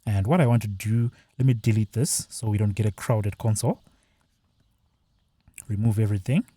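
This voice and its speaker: A man presenting a software tutorial, explaining each step plainly as he walks through a screen-share. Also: on the low side, steady tone, moderate pace, no room sound.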